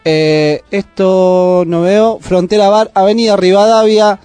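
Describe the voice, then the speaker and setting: An Argentine man co-hosts a community radio show in a high, light voice. He talks in a loose, slangy, joking way and reads out gig details. Faint music runs underneath. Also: fairly even intonation, takes it slowly, mellow, smooth, no echo. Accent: Argentine Spanish. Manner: laid-back, slangy, jokey. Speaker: radio co-host. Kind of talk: casual community radio chat.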